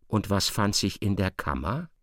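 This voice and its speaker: A man's voice: lowish voice, lively intonation, normal speed, fairly bright tone. A man narrating a literary radio drama in a scripted, storytelling style. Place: dry studio.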